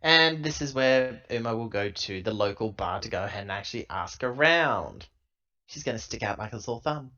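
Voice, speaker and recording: A man's voice: on the low side, expressive, moderate pace, pushed and bright, highs cut off. A man who is a tabletop roleplaying player, casually narrating what his character does.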